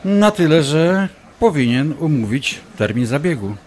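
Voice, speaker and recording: Man acting a smug, obsessive doctor, swinging pitch. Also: big pitch swings, a little slow, darkish tone, slight background noise. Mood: insinuating, pressing. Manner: taunting, insinuating. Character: smug, obsessive male urologist, manipulative ex-lover. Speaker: actor in an audio drama. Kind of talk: audio drama acting, dialogue.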